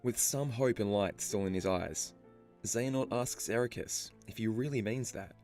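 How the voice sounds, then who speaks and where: A man reading scripted story explainer narration in a measured, storytelling delivery. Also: fairly low pitch, a little mellow. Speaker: video narrator. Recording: music behind the voice. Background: background music.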